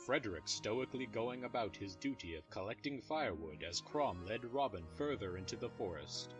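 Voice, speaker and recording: Low-voiced male story narrator. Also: expressive, normal speed, over music. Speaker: narrator of fan audio drama.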